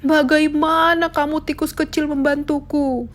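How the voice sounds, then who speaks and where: A woman's voice: sits high, flat delivery, measured pace, pitched above the performer's own voice. A woman voicing a mighty, scornful lion king in a children's storybook tale. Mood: amused scorn. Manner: mocking, haughty.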